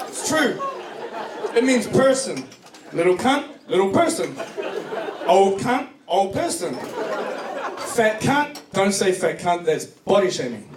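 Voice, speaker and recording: Male stand-up comic, New Zealand accent, high voice, profane storyteller, recorded from a distance in a club. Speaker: stand-up comedian. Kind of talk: stand-up comedy set. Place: comedy club stage before a live audience. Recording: distant echoey club recording, noisy laughing crowd.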